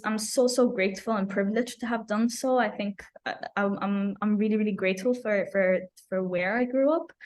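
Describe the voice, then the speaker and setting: Earnest young woman from Abu Dhabi. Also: medium pitch. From Abu Dhabi, UAE. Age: young adult. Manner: earnest, personal, repeats phrases for emphasis. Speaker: climate activist, podcast guest. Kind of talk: reflective interview answers.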